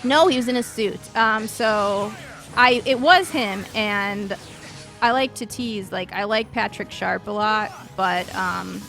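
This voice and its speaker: A woman's voice: mid-range voice, slow, creaky, fairly bright tone. A woman with a Chicago accent chatting casually and self-deprecatingly as she hosts a video game live stream. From northern United States. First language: English. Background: video game music.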